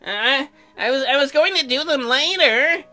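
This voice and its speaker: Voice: high-pitched, animated, normal speed, pushed and bright, faint music behind, voice pushed up. A performer voicing a meek, hesitant young husband in a visual novel, stammering and apologetic. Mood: sheepish.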